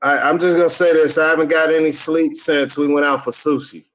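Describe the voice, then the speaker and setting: A man on a live call, talking in an excited and rambling way though he sounds short on sleep. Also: fairly high pitch, brisk. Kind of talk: casual live-stream chat. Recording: dull lo-fi audio.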